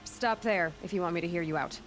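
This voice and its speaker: An actress playing a direct, sceptical young huntress heroine in a video game, questioning in a plain-spoken way. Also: female voice, fairly low pitch, fast, slight background noise, natural voice. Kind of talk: video game dialogue acting.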